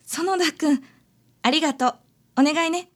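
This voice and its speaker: A woman's voice: high-pitched, normal speed, natural voice. A woman playing a shy, polite schoolgirl in a radio drama. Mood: shy, apologetic.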